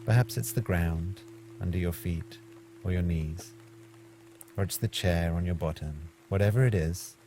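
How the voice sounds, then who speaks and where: Deep-voiced man guiding a meditation over music. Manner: measured, gentle instructing with frequent pauses. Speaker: meditation guide. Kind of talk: guided mindfulness meditation.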